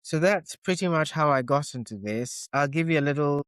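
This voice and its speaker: Man, middle-aged, podcast guest, calm explanatory storytelling, dry close sound. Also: fairly high pitch, normal speed, clean tone, dry recording. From Ghana, Switzerland and the UK. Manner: reflective, explanatory, gentle. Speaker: touch therapist and intimacy coach guest. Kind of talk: casual interview storytelling.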